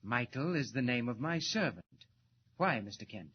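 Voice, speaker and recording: A man's voice: medium pitch, animated, moderate pace, lightly acted. A man playing a courteous, slightly fussy inventor in a 1940s radio serial. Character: older male scientist, courteous inventor. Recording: dull old radio transcription with hiss.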